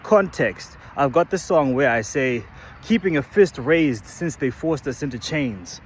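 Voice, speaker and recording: A man from Malawi giving an explanatory talk, speaking deliberately in short, measured phrases with pauses. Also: mid-range voice, animated, a little hiss or hum. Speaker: musician.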